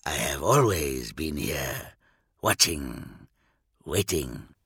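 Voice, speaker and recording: A man voicing a bitter, fallen warrior villain in an audiobook, husky, sardonic and menacing as he taunts. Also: rumbling low, big pitch swings, drawn-out words, fairly bright tone, no room sound, clean studio sound, voice pushed down, heavily acted. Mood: quiet menace.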